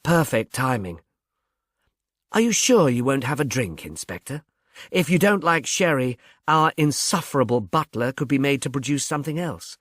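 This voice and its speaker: A man's voice: mid-range voice, very animated, moderate pace, a bit bright, no room sound, studio quality. A man voicing an elderly, self-possessed society woman in an audiobook, tartly amused and condescending. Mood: wry, poised.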